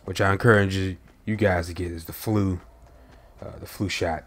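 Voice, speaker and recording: A man's voice: sits low, fairly even intonation, moderate pace, a bit bright. Nasal man with a head cold. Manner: chatty, talks to viewers while playing. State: congested, recovering from a cold. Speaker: gaming channel host. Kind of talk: casual gameplay commentary.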